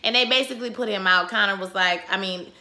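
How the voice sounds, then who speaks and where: A woman talks through a TV episode in a loose, slangy recap. She is losing her voice and sounds hoarse. Her tone is bright and forward. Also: medium pitch, quick pace. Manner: chatty, slangy, animated retelling. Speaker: TV recap vlogger.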